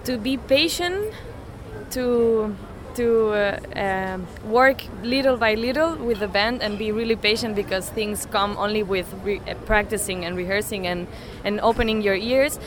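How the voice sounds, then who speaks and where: A woman in her twenties from Spain, answering interview questions outdoors in halting English with many restarts. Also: mid-range voice, a crowd in the background. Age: 20s. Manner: halting, restarts often, non-native English. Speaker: interviewee, saxophonist. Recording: noisy street background.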